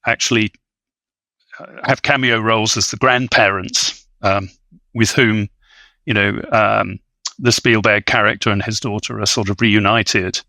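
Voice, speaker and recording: A man with a bright, fairly low voice discusses film as a guest. He sounds scholarly and measured, picking his words with some hesitation, and the recording is very dry. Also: steady tone, creaky, no room sound. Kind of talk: conversational film analysis.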